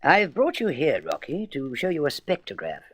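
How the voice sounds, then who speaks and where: A man's voice: fairly high pitch, wildly swooping pitch, moderate pace, a bit bright, dry recording, full character voice. A man playing a courteous, grave president of a space council in a radio serial, formal and emphatic. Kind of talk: vintage science-fiction radio serial acting.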